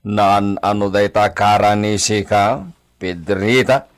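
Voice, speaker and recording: A man's voice: lowish voice, steady tone, takes it slowly, clean tone. A man playing a wealthy, doting father and villa master in a radio comedy drama, theatrical and fond of Spanish exclamations. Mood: calm, authoritative.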